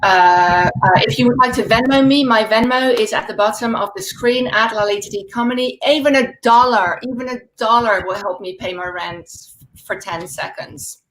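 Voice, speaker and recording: A woman in her forties, raised speaking Dutch in the Netherlands, doing a stand-up set over a video call with dry deadpan delivery and laughing asides. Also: a little high, moderate pace, a little hiss or hum, rough recording. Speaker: stand-up comedian.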